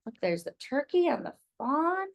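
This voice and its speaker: A woman's voice: high-pitched, very animated, moderate pace, vocal fry. A woman reading a picture book aloud to young children, expressively, with chatty asides to her listeners about the pictures.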